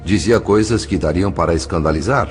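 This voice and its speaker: A man reading scripted narration for an audio novel in a formal, literary, measured manner. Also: low-pitched. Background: faint music.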